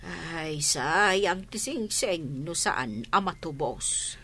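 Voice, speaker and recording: A woman's voice: low-pitched, animated, very slow, bright, forceful tone, breathy edge, full character voice. A woman with an Ilocano accent playing a worried, exasperated middle-aged mother of a grown son in a radio drama.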